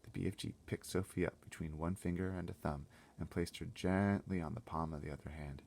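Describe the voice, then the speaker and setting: A man reading a children's story aloud as an expressive narrator. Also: sits low, a little fast, gravelly. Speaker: storybook reader.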